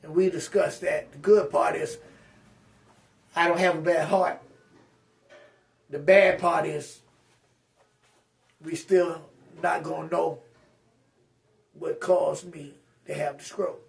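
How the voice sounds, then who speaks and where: A man's voice: on the high side, fairly even intonation, slow pace, vocal fry, pauses often, far from the mic. A man vlogging a casual personal update to his viewers, with slurred speech and a rambling, straight-talking way of speaking full of fillers and restarts.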